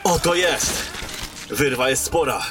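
Fantasy radio play: male actor as a dutiful captain, cautious, formal and measured. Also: mid-range voice, animated, over music. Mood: composed. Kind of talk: fantasy radio drama acting.

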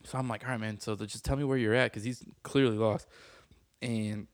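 Casting note: man, podcast co-host, quick jokes, tells stories acting out others, close dry sound. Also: fairly low pitch, steady tone, no echo. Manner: joking, acts out voices in stories. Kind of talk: casual chat.

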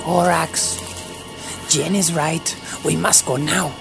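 A man's voice: fairly high pitch, steady tone, slow pace. A man voicing a small, proud, distrustful alien warrior with a growling voice and stilted, broken speech, in an audio drama. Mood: urgent warning. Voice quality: gravelly, growling. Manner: broken, stilted grammar, speaks of himself in third person. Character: small indignant Ewok warrior, male, proud, vengeful, distrustful. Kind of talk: audio drama character acting. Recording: music playing behind the voice.

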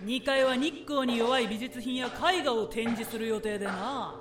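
A woman's voice: on the high side, measured pace, heavy background noise, lightly acted. A woman playing a pompous, shrewd great merchant, male, with curt commanding delivery in a theatrical radio drama. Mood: businesslike, commanding. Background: music.

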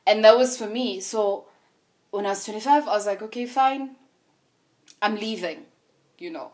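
A woman's voice: medium pitch, normal speed. Woman, candid and reflective. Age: 20s. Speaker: podcast host. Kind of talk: casual conversation.

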